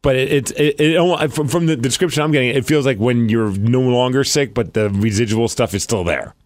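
A man in his thirties co-hosting a podcast, bantering in a casual, joking way with quick restarts. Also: medium pitch, no room sound. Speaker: podcast co-host. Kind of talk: casual chat.

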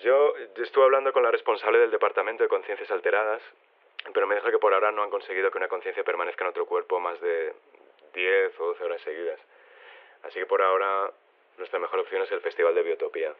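An actor playing an affable phone-in guest in an audio drama, chatty with hesitant starts and an accent from Spain. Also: male voice, deep voice, steady tone, quick pace, natural voice. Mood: chatty, agreeable. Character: affable body-swapped male radio phone-in guest. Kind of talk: conversational fiction interview. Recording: tinny narrow telephone line.

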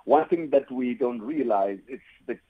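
A South African man giving conversational interview answers in a halting way, often saying "you know". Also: mid-range voice, slightly rough. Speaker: guest, theatre playwright and producer. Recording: tinny phone-like line.

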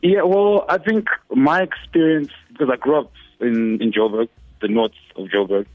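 A man's voice: medium pitch, moderate pace, dry recording, phone-quality sound, soft background music. Radio guest, man, 30s, from Zimbabwe, easygoing and rambling, dry studio sound. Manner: relaxed, many fillers and restarts. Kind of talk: casual interview.

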